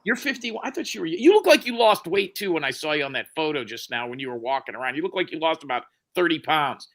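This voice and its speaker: A man in his forties, teasing and crude, chatting on a livestream with loud outbursts. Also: a little high, expressive, fast talker. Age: 40s. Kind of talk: crude joking chat on a livestream.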